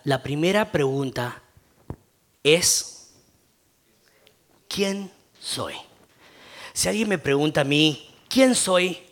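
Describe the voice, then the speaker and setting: A man with an Argentine Spanish accent preaching a conversational sermon as a pastor, often pausing on "eh" and asking "¿no?". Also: medium pitch, fairly bright tone. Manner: conversational, frequent filler "eh" and tag "¿no?".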